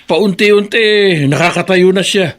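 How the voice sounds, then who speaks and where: A man playing an old grandfather, gentle with family but stern as a father, in a Filipino radio drama, with a folksy, husky way of talking. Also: sits high, a little slow. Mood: chatty. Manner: folksy, sprinkles "eh".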